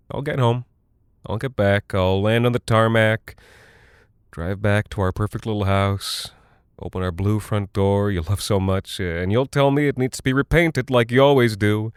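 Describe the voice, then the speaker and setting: Casting: male actor as nervous cargo pilot husband, lowish voice, halting rambling monologue, tightly dry recording. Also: clear, resonant tone, dry recording, close to an everyday voice. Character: anxious husband, cargo pilot recording a message for his wife. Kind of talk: audio drama monologue.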